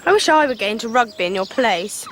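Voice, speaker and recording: A woman's voice: a little high, expressive, fairly quick, close to an everyday voice. A woman playing a spirited, rebellious Victorian girl in a radio drama, earnest and well-spoken, with an English accent. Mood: indignant. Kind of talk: 1990s British radio drama acting.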